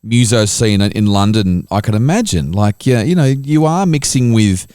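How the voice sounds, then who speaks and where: Australian male host, low voice, chatty and stumbling, dry close mic. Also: expressive, moderate pace, darkish tone, smooth, dry recording. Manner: stumbling repeats, conversational. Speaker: podcast host. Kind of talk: casual interview chat.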